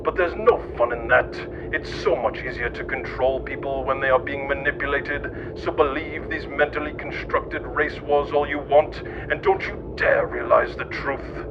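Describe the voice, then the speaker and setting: A man reading scripted satirical narration in a deadpan, mock-serious manner. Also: mid-range voice, noisy background. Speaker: narrator of a satirical history podcast. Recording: muffled lo-fi audio under music. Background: background music.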